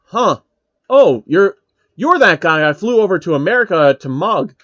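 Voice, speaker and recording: A man's voice: high-pitched, big pitch swings, normal speed, a bit bright, dry recording, highs cut off, pitched above the performer's own voice. A man voicing a cocky young male swordsman hero with a casual, defensive manner in a fan fiction story.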